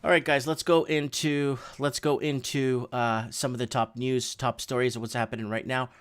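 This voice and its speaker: A man's voice: medium pitch, flat delivery, normal speed, smooth. A middle-aged man, a native Portuguese speaker, hosting a livestream and casually reading and commenting on news headlines with rambling, sarcastic asides. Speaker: livestream host.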